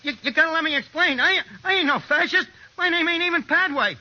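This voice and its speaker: An actor playing a wisecracking American small-time forger in an old radio drama, with slangy, hesitant, streetwise talk. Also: male voice, very high voice, fast talker, sharp tone, heavily acted. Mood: panicked, pleading. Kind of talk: 1940s radio drama acting. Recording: dull, noisy old radio recording.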